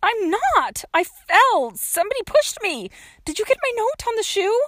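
A woman's voice: very high-pitched, animated, fairly quick, no echo, studio quality, voice pushed up. A woman voicing a desperate, exasperated young girl, pleading urgently and emphatically in an audiobook. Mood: excited, urgent.

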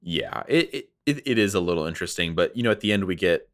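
A man's voice: low-pitched, animated, fast, fairly bright tone, no room sound, clean studio sound. A man co-hosting a casual, jokey review chat, often acting out imagined lines as he talks. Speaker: podcast co-host.

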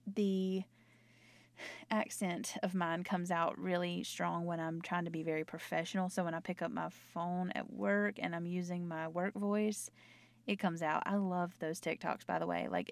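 Woman, North Carolina Southern accent, slightly hoarse, gravelly fry, rambling casual chat, dry closet sound. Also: lowish voice, flat delivery, measured pace, no echo. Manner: rambling, chatty, self-interrupting. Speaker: nurse and podcaster. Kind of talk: casual late-night chat. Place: small closet at home. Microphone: own microphone.

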